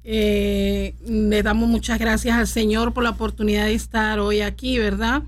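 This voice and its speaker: A middle-aged woman from Panama, a pastor speaking as a radio guest in a studio, fervent and brisk with hesitant fillers. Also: mid-range voice, flat delivery.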